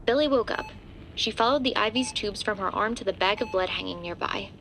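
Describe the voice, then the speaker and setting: A woman's voice: medium pitch, brisk, a little hiss or hum, close to an everyday voice. A woman as the composed third-person narrator of a scripted horror audio drama. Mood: matter-of-fact. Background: loud music.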